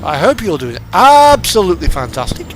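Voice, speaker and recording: A man's voice: high-pitched, sing-song, a little fast, a little hiss or hum, rough recording. A man giving casual live commentary as he streams a game, chatting and thinking aloud.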